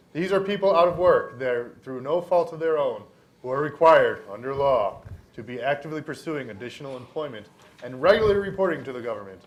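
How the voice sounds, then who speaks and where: A young man reading a political speech aloud at a live student performance. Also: mid-range voice. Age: young adult. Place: college library event room.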